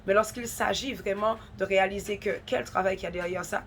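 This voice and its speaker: A woman's voice: medium pitch, steady tone, a little fast, fairly bright tone. Woman host with a cold, earnest and exhortative, mid-range voice, faint background hum. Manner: earnest, exhortative, repeats for emphasis. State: has a head cold, runny nose. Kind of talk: devotional encouragement talk.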